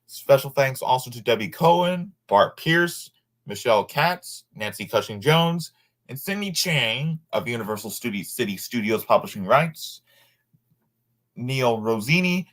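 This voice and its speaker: A man reading a nonfiction book aloud informally, sometimes halting over words and adding asides. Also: mid-range voice, very animated, fairly bright tone.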